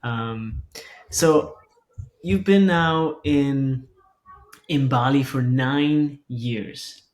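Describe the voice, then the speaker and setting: A man from Germany, hosting a casual interview in a halting, reflective way. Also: mid-range voice, a little mellow, poor audio. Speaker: podcast host.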